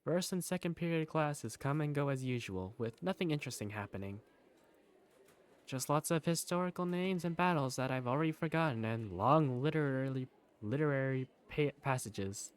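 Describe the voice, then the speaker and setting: A man, an amateur voice actor, narrating a visual novel fandub in the first person in an animated way. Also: mid-range voice, normal speed, smooth.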